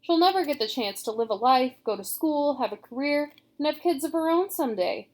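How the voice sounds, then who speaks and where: A woman's voice: high-pitched, lively intonation, a little fast. A woman reading as a caring young girl. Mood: persuasive.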